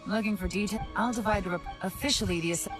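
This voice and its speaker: A woman playing a shrewd, composed young woman investigator in a video game, speaking in a cool, measured, authoritative way. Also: mid-range voice, natural voice. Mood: cool, analytical. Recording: loud music behind.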